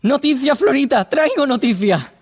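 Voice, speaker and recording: Actor como mensajero parlanchín con mucha reverberación. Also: male voice, very high voice, animated, moderate pace. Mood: excited. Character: Manolo, excitable, chatty male friend bringing news. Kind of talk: theatrical radio drama acting.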